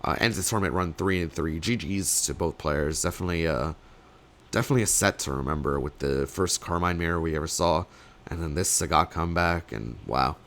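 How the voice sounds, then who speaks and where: A young man, slightly sick and losing his voice, casting a card game tournament alone in rambling play-by-play full of fillers, with sudden bursts of excitement. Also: low voice, fairly quick. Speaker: tournament caster. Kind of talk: solo play-by-play commentary.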